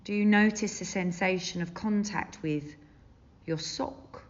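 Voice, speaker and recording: Lady doing a guided meditation, really slow n gentle, kinda muffled recording. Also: medium pitch. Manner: gentle, soothing, unhurried with long pauses. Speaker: yoga and meditation teacher. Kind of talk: guided body scan meditation. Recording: dull, muffled lo-fi sound.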